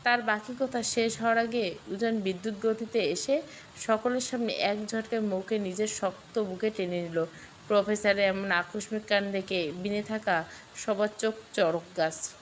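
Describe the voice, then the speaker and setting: A woman's voice: medium pitch, steady tone, moderate pace, slight background noise. A woman reading a scripted story with dramatic delivery. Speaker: story narrator.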